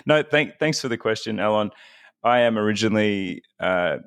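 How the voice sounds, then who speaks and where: A man's voice: lowish voice, animated, moderate pace, smooth, dry recording, studio quality. A man, a podcast guest talking casually and laughing often at himself. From Australia. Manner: chatty, self-deprecating, laughs often.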